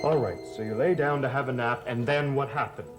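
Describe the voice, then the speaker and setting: Man acting hot-tempered young son, gothic soap melodrama, medium pitch, accusatory, clear music underneath. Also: a little fast, lightly acted. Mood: probing. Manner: pressing, accusatory questioning. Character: bitter, protective, hot-tempered grown son. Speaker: actor in a TV drama. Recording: old TV soundtrack with music behind.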